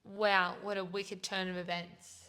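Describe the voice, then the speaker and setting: A woman's voice: mid-range voice, flat delivery, measured pace, sharp tone, smooth. A young Australian woman hosting a casual podcast, chatty and giggly, often correcting herself, her voice a little worn. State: slightly losing her voice. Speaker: podcast host and gym founder. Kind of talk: casual chat.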